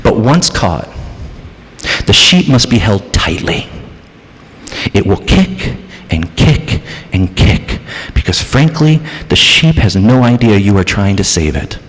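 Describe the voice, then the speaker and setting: A man preaching a sermon as pastor, dramatic, moving between hushed passages and raised, emphatic ones. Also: lowish voice, big pitch swings, slow pace. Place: church sanctuary. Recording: church hall reverb.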